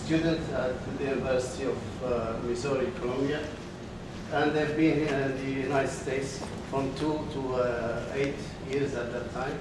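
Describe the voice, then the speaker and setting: Halting man in echoey hall. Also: medium pitch, fairly even intonation. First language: Arabic. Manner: halting, frequent filler pauses. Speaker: conference presenter. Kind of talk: academic research presentation. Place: conference hall. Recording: echoey hall, distant mic, background noise.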